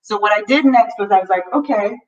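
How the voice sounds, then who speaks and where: A woman of middle pitch coaching viewers in a live stream. She talks earnestly and keeps checking in with "right?". Her voice sounds a bit distant from the mic, dull and lo-fi. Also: fast. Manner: earnest, reads viewer comments aloud, frequent "right?". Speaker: money coaching host.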